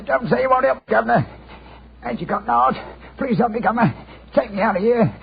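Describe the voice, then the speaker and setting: An actor playing a wheedling, ragged Cockney addict in a thin, quavering voice in a 1940s radio drama. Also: male voice, very high voice, a little fast, gravelly, husky, exaggerated voice. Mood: pleading. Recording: muffled, noisy old radio recording.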